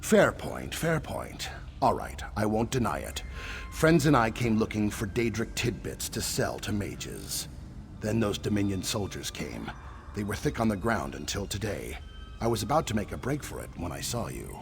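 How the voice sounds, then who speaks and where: Wry, creaky male lizard-folk survivor. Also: fairly low pitch, very animated, moderate pace, faint music behind. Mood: wary, wry. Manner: wry, dry sarcasm, clipped questions tagged on statements. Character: wary sardonic male lizard-folk survivor hiding in a ruined temple. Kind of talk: video game character dialogue.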